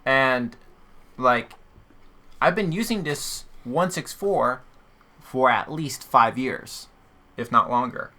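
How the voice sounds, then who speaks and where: A man's voice: medium pitch, expressive, slow, long pauses, pushed and bright. A man hosting a podcast in casual conversation, explaining his points emphatically. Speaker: podcast host. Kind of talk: casual chat.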